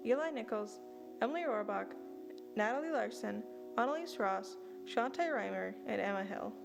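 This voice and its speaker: A woman narrating and announcing the credits of a radio play in a steady, formal way. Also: on the high side, vocal fry. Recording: music underneath.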